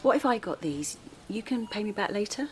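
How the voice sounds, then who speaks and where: An actress playing Alice, a kind and steady mother who speaks in a calm, reassuring, gently persuasive way. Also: mid-range voice, brisk, a little hiss or hum, natural voice. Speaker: stranger who helps. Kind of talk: audio drama acting.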